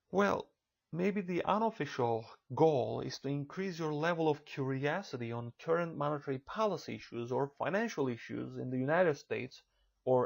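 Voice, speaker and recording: A man from Turkey with a Turkish accent delivers a recorded lecture for an online college course. His voice has a gravelly edge, and he speaks in a measured, careful way, sometimes restarting a phrase. His pitch sits in an ordinary middle range. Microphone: computer mic for a narrated slideshow.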